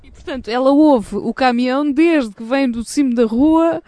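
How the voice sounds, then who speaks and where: A woman's voice: high voice, normal speed, warm tone, dry recording. A woman hosting a casual, playful chat outdoors by a windmill, teasing her guest as she asks about her life. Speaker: host.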